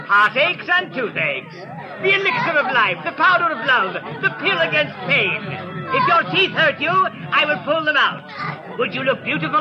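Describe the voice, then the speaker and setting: A very high-voiced travelling quack works the square with grandiose, wheedling patter. It is a muffled 1930s radio drama, with music and a busy crowd behind the voice. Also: male voice. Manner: grandiose, drawn-out sales patter. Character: flamboyant travelling dentist and quack hawker, wheedling showman.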